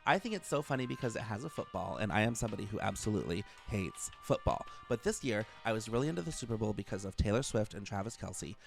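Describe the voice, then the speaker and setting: A man's voice: fairly low pitch, expressive, quick pace, vocal fry, hardly any pauses, soft background music. An American man in his thirties, chatting casually as he hosts an interview podcast. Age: 30s. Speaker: podcast host.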